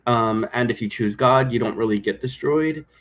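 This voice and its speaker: A man's voice: mid-range voice, moderate pace, fairly bright tone. A man explaining a role-play game in a casual, improvised, rambling way, often checking for agreement. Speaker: game creator explaining rules.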